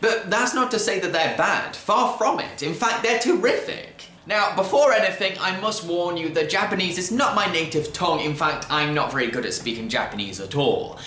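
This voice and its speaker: A man narrating a scripted video film review in an enthusiastic, expressive manner. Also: high-pitched, moderate pace, few pauses, a little hiss or hum. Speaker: film reviewer and show host. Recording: roomy, echoey voice track.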